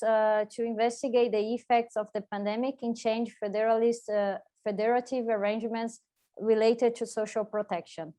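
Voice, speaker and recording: A woman's voice: on the high side, darkish tone. A woman from Brazil with a strong Brazilian Portuguese accent, giving an academic presentation on a video call, with frequent "uh"s and restarts. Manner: hesitant, many filler "uh"s and restarts. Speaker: postdoc researcher presenting.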